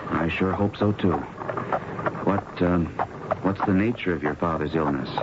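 Earnest low-voiced young doctor, heavy noise. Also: male voice, unhurried. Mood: concerned, courteous. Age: young adult. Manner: hesitant, courteous, earnest. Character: earnest young male doctor fresh out of medical school. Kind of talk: 1970s radio drama acting. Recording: dull, very noisy 1970s radio broadcast.

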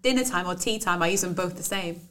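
A woman's voice: on the low side, fast talker, a crowd in the background. Chatty Midlands woman with a Welsh lilt. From English Midlands. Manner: chatty, laughs often, uses lots of "like". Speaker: podcast guest, self-employed business owner. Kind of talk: casual podcast chat.

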